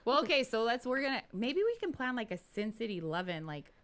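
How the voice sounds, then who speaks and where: A woman's voice: a little high, very animated, fairly quick, a bit bright. A woman in her forties chatting casually and candidly as a podcast co-host, swearing freely. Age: 40s. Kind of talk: casual chat.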